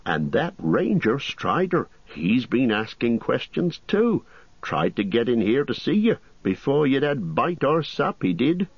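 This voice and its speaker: A male narrator plays a fussy middle-aged country innkeeper for a fantasy storybook. The pitch jumps high and swings about, with a husky edge. He chatters in folksy, rustic phrases, easily flustered. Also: very animated, measured pace, no room sound, highs cut off, heavily acted. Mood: worried, gossipy.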